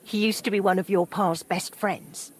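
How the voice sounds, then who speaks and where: A middle-aged woman playing a warm, helpful widowed townswoman in a medieval video game, with a husky voice. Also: mid-range voice, fairly even intonation, normal speed, natural voice. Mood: friendly, obliging. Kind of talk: video game character dialogue.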